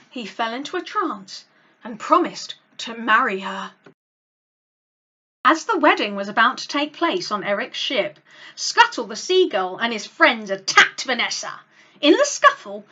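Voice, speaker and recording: A woman reading a children's story aloud as host, with a dramatic, expressive read-along delivery. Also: fairly high pitch, slightly rough. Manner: dramatic, animated delivery for young listeners.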